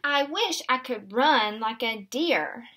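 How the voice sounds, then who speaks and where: A woman voicing a small, wistful chameleon in an expressive storybook reading. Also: a little high, takes it slowly, full character voice. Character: small chameleon, wistful, wishing to be other animals.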